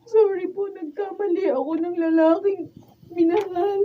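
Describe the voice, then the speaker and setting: A very high-voiced, wounded young woman. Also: fairly even intonation, moderate pace, lo-fi sound. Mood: sorrowful, confiding. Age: young adult. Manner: emotional, melodramatic delivery. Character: hurt, betrayed young woman, a university student and daughter. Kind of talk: Filipino radio drama acting.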